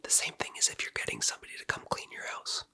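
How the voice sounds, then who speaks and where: An ASMR performer whispering softly and teasingly, close to the mic, in an unhurried roleplay as a barber. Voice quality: breathy whisper. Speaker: ASMR creator playing a barber in a haircut roleplay. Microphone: close-up ASMR microphone.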